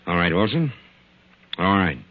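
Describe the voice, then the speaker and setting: An actor playing a stern, menacing boss in a 1940s radio mystery, firm, commanding and insistent. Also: male voice, sits low, sing-song, a little slow, no room sound. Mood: curt, businesslike. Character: stern, menacing boss pressuring a reluctant subordinate. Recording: narrow-band scratchy 1940s radio recording.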